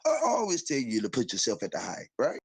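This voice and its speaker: A man's voice: medium pitch, wildly swooping pitch, fast talker, slight background noise. A man in a put-on Caribbean island accent, rambling and boasting in profane casual chat on a call-in show.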